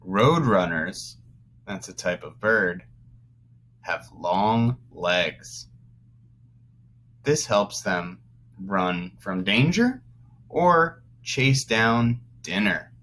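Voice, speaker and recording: A man teaching young children through a read-aloud, pausing to explain words as he goes. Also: lowish voice, animated, fairly bright tone, a little hiss or hum. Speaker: first-grade teacher.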